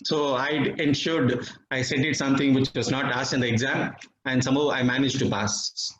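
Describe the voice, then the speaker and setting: A middle-aged man from Bangalore with an Indian English accent and Tamil as his mother tongue, giving a reflective, measured personal testimony with pauses. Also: medium pitch, steady tone, fairly bright tone. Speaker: devotee sharing experiences. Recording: dull, slightly roomy recording with faint noise.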